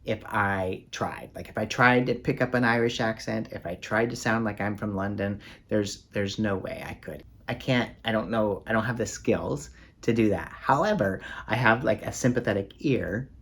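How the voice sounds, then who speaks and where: A man with a fairly low voice talks casually to the camera in a book vlog. He rambles with plenty of ums and uhs, poking fun at himself. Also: moderate pace, creaky, fairly bright tone. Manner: rambling, self-deprecating, many fillers. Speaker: book vlogger.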